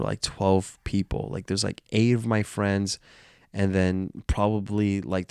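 A man's voice: low-pitched, creaky, clean tone, no echo, clean studio sound. A man hosting a casual solo podcast, congested and nasal from illness, rambling and self-aware. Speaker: podcast host.

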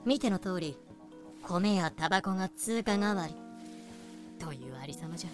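A woman's voice: on the low side, lively intonation, moderate pace, natural voice. Girl doing a haughty old-timey wolf lady auctioneer, courtly talk, voice drama w music under it. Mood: matter-of-fact, scornful. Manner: old-fashioned courtly speech, proud. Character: haughty archaic-speaking wise wolf woman slave auctioneer. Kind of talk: fan-made voice drama acting. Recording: music behind the voice.

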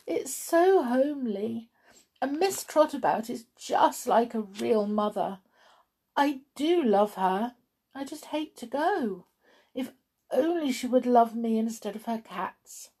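A woman's voice: a little high, normal speed, vocal fry, a little mellow, slightly rough, voice pushed up. A woman voicing an affectionate, earnest young girl in a storybook, with a heartfelt, pleading manner. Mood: tearful, wistful.

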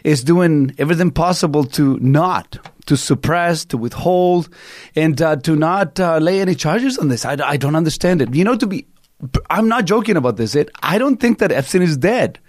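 A middle-aged man from Chile with a Chilean Spanish accent, hosting a talk radio show in an animated, rambling storytelling way. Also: fairly high pitch, moderate pace, dry recording, clean studio sound. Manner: animated storyteller, many fillers and restarts. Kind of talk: casual opinionated talk radio chat.

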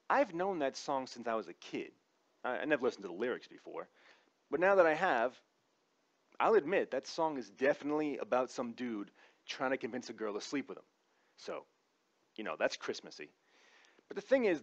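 A man's voice: medium pitch, a bit bright. Wry man in dull lo-fi sound. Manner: informal, wry, sometimes profane. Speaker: comedic commentator. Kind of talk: conversational opinion monologue with comic asides.

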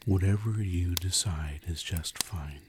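A man narrating a guided sleep meditation in a slow, soothing, hushed manner with long pauses. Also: low voice. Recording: campfire and cricket ambience, faint noise. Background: crickets and crackling fire.

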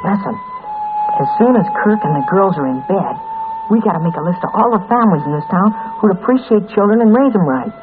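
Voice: mid-range voice, wildly swooping pitch, fast talker. A child-voiced actor playing an earnest, responsible orphan boy pleading anxiously, in old-time radio drama style. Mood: determined, urgent. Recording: old scratchy narrow-band radio recording.

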